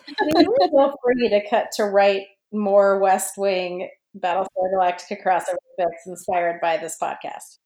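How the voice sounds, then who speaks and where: A woman's voice: medium pitch, a little slow, darkish tone. A woman guest on a podcast, a fan fiction writer, talking casually and explaining things in an informal way. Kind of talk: casual discussion. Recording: roomy, echoey sound.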